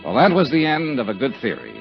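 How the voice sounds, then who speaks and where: An actor playing a sardonic, flirtatious American investigator with clipped, wry speech in 1950s radio detective style. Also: male voice, medium pitch, wildly swooping pitch, fast, gravelly. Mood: brooding narration. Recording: dull old radio recording with music.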